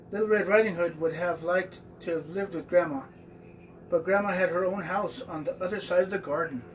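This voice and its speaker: A man telling a children's story aloud in a halting, stumbling way. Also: sits high. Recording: distant mic with faint background noise.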